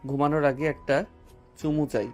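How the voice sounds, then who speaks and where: A man's voice: a little high, brisk, close to an everyday voice. A man playing a teasing, tender young husband. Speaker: voice actor. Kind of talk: audio drama acting. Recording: music bed underneath.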